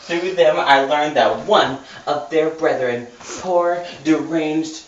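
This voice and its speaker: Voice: medium pitch, takes it slowly. Mock-dramatic amateur reader, roomy and distant. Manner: mock-dramatic, often breaking into giggles. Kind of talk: casual dramatic reading aloud from a novel. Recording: roomy, distant mic, muffled, noisy.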